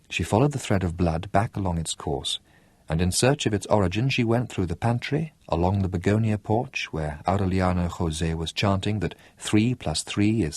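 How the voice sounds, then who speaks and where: A man reading a novel aloud in an expressive audiobook style, with lively, dramatic delivery and slowed emphasis. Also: fairly low pitch, husky, no room sound.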